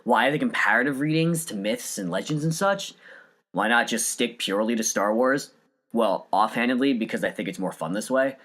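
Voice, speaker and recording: A man's voice: mid-range voice, fairly bright tone. A man hosting a solo podcast, reading scripted narration in an earnest, enthusiastic way. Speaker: podcast host.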